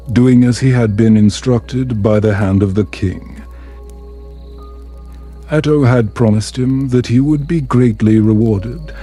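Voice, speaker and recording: A man narrating a scripted bedtime story in a calm, soothing, measured manner. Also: medium pitch. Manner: soothing, measured, unhurried delivery.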